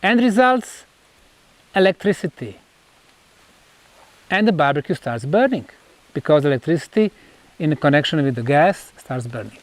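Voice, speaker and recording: High-pitched Bosnian-accented man presenting. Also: lively intonation, moderate pace, long pauses. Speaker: researcher presenting measurements on site. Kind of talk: explanatory on-site presentation.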